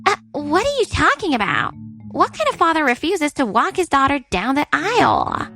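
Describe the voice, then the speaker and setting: A woman's voice: sits high, wildly swooping pitch, moderate pace, creaky, bright, forceful tone, exaggerated voice. A woman playing an indignant, entitled young bride in a manga story dub, whiny and demanding. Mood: indignant, pleading. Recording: music under the voice.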